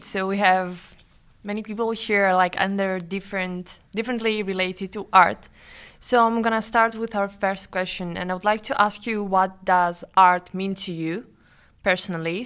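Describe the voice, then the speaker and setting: A woman's voice: medium pitch, steady tone, a little slow, a bit bright, smooth. A woman acting as interviewer, moderating a podcast discussion and passing questions to guests.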